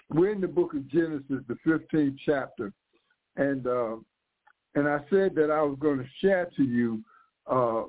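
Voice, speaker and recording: Older male radio preacher in his 60s, stuffy from flu, fervent and circling back on his words, mid-pitched, tinny phone-line sound. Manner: fervent, repeats and restarts phrases. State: congested from flu. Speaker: pastor. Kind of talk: live radio sermon.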